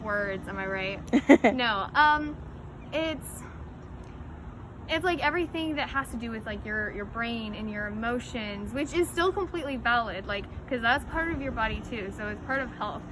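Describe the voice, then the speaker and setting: A woman's voice: fairly high pitch, moderate pace, noisy background. A young woman in the audience answering a question hesitantly, with many "likes" and "ums". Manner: hesitant, full of "like" and "um". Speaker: audience member.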